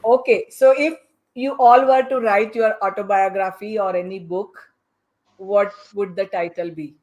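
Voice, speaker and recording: Brisk woman moderating a panel. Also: medium pitch, steady tone, darkish tone, lo-fi sound. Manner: brisk, hurrying, interjecting. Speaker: podcast host and moderator. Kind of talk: moderating a panel discussion, prompting for short answers.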